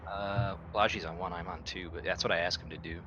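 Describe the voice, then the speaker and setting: A man's voice: deep voice, steady tone, fast talker, lo-fi sound, music in the background. A man taking part as a player in a casual tabletop game, wry, playful and blunt.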